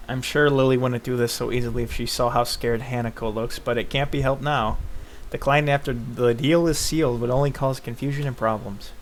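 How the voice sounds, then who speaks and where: A man's voice: mid-range voice, fairly even intonation, fairly quick, few pauses, slight background noise. A man casually reading a visual novel aloud in the first person, breaking off into joking asides. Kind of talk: casual game read-along, narrating in first person.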